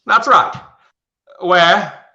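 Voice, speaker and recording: A man playing a forgetful, lovable uncle in a radio play, flustered and excitable. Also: high voice, very slow, echoey room, lo-fi sound, pitched above the performer's own voice, exaggerated voice. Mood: flustered, cheerful.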